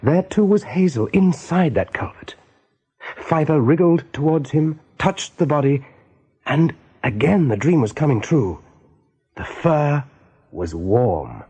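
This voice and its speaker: Mellow, husky storyteller. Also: male voice, fairly high pitch, big pitch swings, slow, heavily acted. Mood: hushed, measured. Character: measured, serious male storyteller. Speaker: narrator. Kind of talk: scripted radio drama narration.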